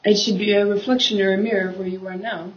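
A woman's voice: mid-range voice, fairly even intonation, fast. Opinionated woman in an echoey room. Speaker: discussion participant. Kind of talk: casual group discussion. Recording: dull lo-fi recording in an echoey room.

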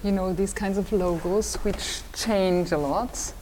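A woman's voice: medium pitch, fairly even intonation, takes it slowly, darkish tone. Woman, Austrian accent, fifties, hesitant restarts, reverberant room, faint background hum. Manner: hesitant, with frequent repeated words and restarts. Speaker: designer and design teacher. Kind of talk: conversational interview answers.

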